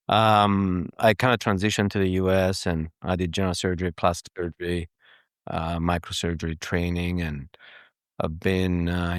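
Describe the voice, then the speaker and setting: Deep-voiced man. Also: no room sound, studio quality. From Greece and Cyprus. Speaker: guest, plastic surgeon. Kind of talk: casual interview answers.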